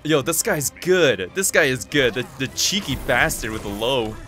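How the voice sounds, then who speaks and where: A man whose first language is Korean talks over his match in a middle-pitched voice, excitable and quick to swear. He mutters to himself between bursts of energy. Faint game music runs underneath. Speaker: gaming channel host. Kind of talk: live gameplay commentary.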